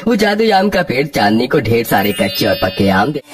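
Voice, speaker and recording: Male storyteller over soft music. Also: mid-range voice, big pitch swings, brisk. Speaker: narrator. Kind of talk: scripted children's story narration.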